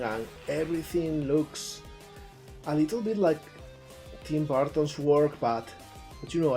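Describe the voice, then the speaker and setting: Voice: mid-range voice, darkish tone. A native Spanish speaker with an Andalusian accent from southern Spain, hosting a live stream with casual, chatty commentary on game trailers. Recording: music playing behind the voice.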